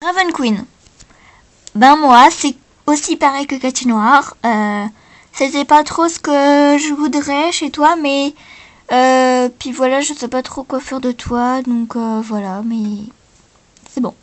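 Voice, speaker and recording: A woman plays a singing-contest coach in a homemade, improvised story. The voice is very high. The coach is encouraging but unsure, rambling and halting from phrase to phrase. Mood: hesitant, encouraging. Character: hesitant, encouraging talent-show coach judging singers.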